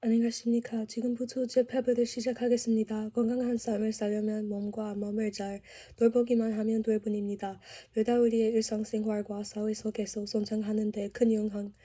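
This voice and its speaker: A woman's voice: fairly high pitch, flat delivery, very fast, warm tone. A woman reciting a formal prepared speech in a stiff way.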